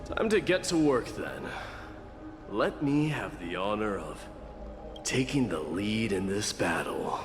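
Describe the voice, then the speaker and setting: A man's voice: lowish voice, big pitch swings, big-room sound, over music. A man playing a grave, courteous warrior lord in a video game, speaking in a formal, commanding and protective way. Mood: resolute. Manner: measured, formal, authoritative.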